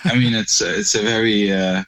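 Deep-voiced Norwegian man, hesitant English. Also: steady tone, moderate pace, a bit bright. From Norway. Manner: hesitant, searching for English words. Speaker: guest, singer-songwriter. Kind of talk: casual conversation.

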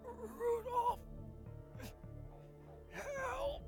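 A man playing a desperate, loyal army lieutenant in a war audio drama, shouting in a strained, frantic voice. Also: very high-pitched, steady tone, extremely slow, over music, full character voice. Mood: anguished pleading.

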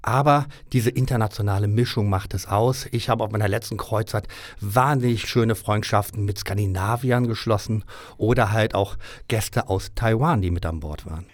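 Männliche Gaststimme, trocken im Studio. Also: male voice, on the low side, moderate pace, dry recording. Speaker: cruise line business development director. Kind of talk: interview answers. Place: audio studio.

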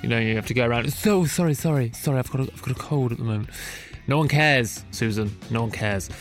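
A man's voice: medium pitch, fast, faint music behind. A young British man hosting a nature podcast in a chatty, self-mocking way, laughing at his own slips. He sounds bunged up from a cold. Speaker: podcast host. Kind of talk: casual chat.

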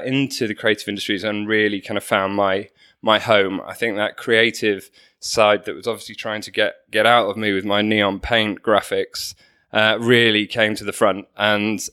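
A man's voice: on the low side, fairly bright tone, smooth. A man from Manchester, a podcast guest, telling his story in a light, joking and self-deprecating way. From Manchester, England. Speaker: guest, company co-founder. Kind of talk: podcast interview.

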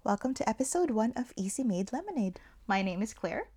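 Young female co-host from the Philippines, easygoing and conversational, pauses to think. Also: fairly high pitch, sing-song, dark tone, breathy edge. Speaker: podcast co-host. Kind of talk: casual podcast chat.

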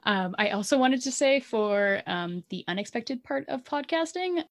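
A woman's voice: a little high, animated, moderate pace. A woman with a Canadian accent co-hosting a podcast, chatting casually and laughing often. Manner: giggly, chatty, lots of "like". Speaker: podcast co-host. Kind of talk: casual chat.

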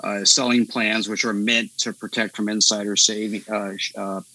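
A man co-hosting a podcast, thinking aloud in a relaxed, meandering way with many fillers. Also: fairly low pitch, moderate pace, dry recording, low-quality recording. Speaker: podcast co-host. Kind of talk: casual conversation.

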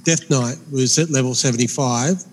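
A man in his forties with a speech impediment, co-hosting a gaming podcast in a halting, filler-heavy way. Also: medium pitch, long reverb tail, poor audio. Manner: halting, many fillers and restarts. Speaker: podcast co-host. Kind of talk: casual chat.

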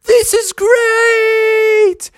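A woman's voice: mid-range voice, sing-song, a little slow, dry recording, voice pushed up. A woman voicing a grumbly but game young male bear in a children's story, easily exasperated. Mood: panicky thrill.

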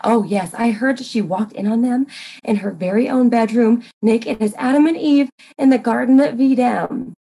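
A woman's voice: fairly high pitch, moderate pace, creaky, dark tone, slightly rough, poor audio. A woman playing a flustered, scatterbrained society wife who gossips coyly and hesitantly in a comic murder mystery reading. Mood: coy, gossipy. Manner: fluttery, hesitant, coyly confiding.

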